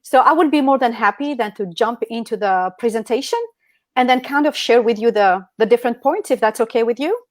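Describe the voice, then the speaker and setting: A woman's voice: on the high side, fairly quick, no echo. Earnest woman presenting on a video call. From Algeria. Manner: earnest, with fillers like "like" and "um". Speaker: guest speaker.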